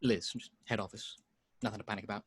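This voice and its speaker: A man reading the part of a weary, sheepish young salesman in an informal script table read, halting and defensive. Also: lowish voice, steady tone, close to an everyday voice. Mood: awkward, curt.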